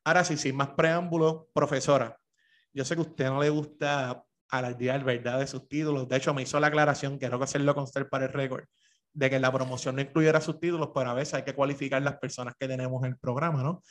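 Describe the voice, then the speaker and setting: Puerto rican guy hosting a podcast, formal n polite, lots of "eh" pauses. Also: mid-range voice, fairly even intonation. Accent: Puerto Rican Spanish. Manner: formal and deferential, fills pauses with "eh". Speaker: podcast host. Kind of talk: formal interview with a sponsor read.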